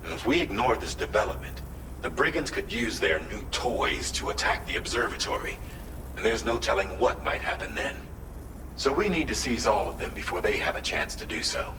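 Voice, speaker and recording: A man playing a grave, formal dispatcher, giving a measured and earnest mission briefing in a video game. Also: mid-range voice, lightly acted. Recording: echoey, distant, noisy game audio.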